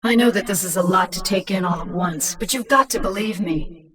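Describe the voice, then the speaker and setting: A woman voice acting a cunning, commanding infested queen in a video game, with a cool, measured, persuasive delivery. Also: on the low side, recorded from a distance, crowd noise, close to an everyday voice. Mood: urgent insistence. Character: cunning, commanding infested alien queen, former mindless killer.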